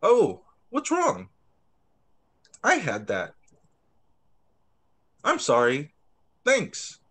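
A man voicing an eager little boy playing with toy trains in a picture book, excited and simple in his delivery. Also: a little high, extremely slow, exaggerated voice. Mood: sorry, conciliatory.

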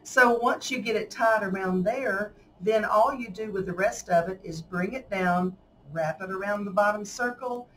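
A woman's voice: mid-range voice, normal speed, fairly bright tone. A woman with a Southern US accent demonstrating a paper craft at a table, talking in a folksy, chatty way and laughing often. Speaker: craft tutorial host. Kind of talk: casual hands-on craft demonstration. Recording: slightly distant mic, faint room noise.

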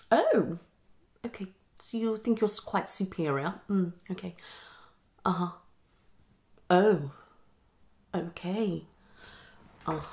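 A woman's voice: on the low side, vocal fry, exaggerated voice. A young woman playing a hasty, tactless female psychologist in an ASMR roleplay, half whispering in a condescending, hesitant way. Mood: patronising, concerned. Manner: fast switching, hesitant repetitions, condescending. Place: small living room, indoors.

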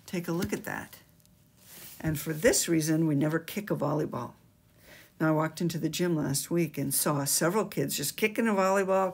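A woman's voice: low-pitched, creaky, a little mellow, slightly rough. An older woman on the school staff explaining gym rules to students in an emphatic, sing-song teacherly way, recorded on a webcam in a small office. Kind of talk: instructional school video. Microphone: webcam at desk.

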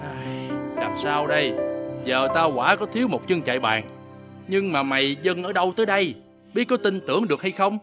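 A man voicing a fussy, wary but soft-hearted eatery owner in an animated fairy tale. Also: fairly high pitch, moderate pace, highs cut off, loud music behind the voice, close to an everyday voice. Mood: wary, scolding.

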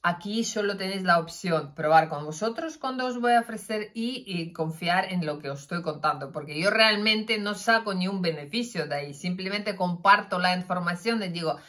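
Middle-aged russian-accented lady vlogging on her phone, bright voice, talks with her hands. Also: lowish voice, normal speed, hardly any pauses. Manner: animated, gesturing, many fillers and restarts. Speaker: health vlogger. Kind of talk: casual talk to camera. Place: indoors, plain room with curtain backdrop. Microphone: phone camera, front-facing.

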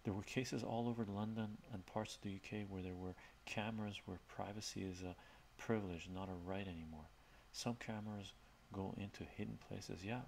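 A middle-aged man, Armenian by birth, chats in a relaxed, rambling way with fillers, as a livestream host in his home study. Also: on the low side, normal speed, gravelly, slight background noise. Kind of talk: casual soft-spoken chat with viewers.

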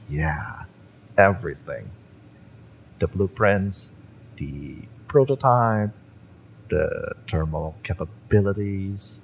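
A man playing a fiery, spurned mercenary ex-bodyguard in an audio roleplay, mocking and drawling with menacing intimacy and dramatic pauses. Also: low voice, animated, slight background noise, heavily acted, rough recording. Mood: suspicious, taunting. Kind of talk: scripted audio roleplay addressed to the listener.

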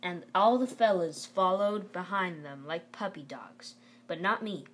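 A woman with a fairly low voice reads a story aloud as a narrator. Her delivery is an expressive storybook read-aloud, recorded with clear background noise. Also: a little slow.

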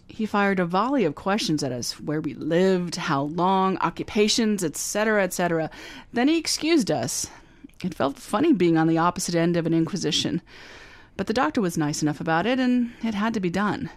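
Casting: actress as a wisecracking lady detective narrating, brisk and wry, dry close sound. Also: fairly low pitch, animated, dry recording, lightly acted. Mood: wry narration. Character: wry, confident female private detective Candy. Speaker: narrator and lead. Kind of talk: first-person radio drama narration.

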